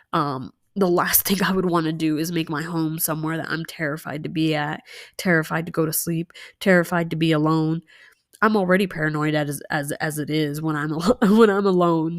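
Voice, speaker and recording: A woman's voice: low voice, dry recording, clean studio sound. A young woman chatting casually with many fillers and asides while telling a story time over a nail tutorial.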